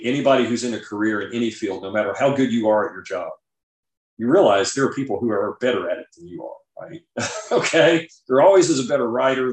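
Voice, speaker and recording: A man speaking as an expert guest in a conversational interview, explaining things and often checking in with "right?". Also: fairly low pitch. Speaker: guest author and scientist. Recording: roomy, echoing sound.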